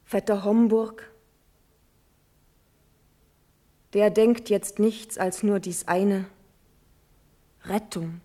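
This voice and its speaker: A woman's voice: medium pitch, steady tone, slow pace, mellow. An actress playing a pleading young noblewoman, a devoted niece, in a classical verse radio drama with solemn, formal delivery. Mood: bitter sorrow. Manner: solemn, impassioned, formal declamation.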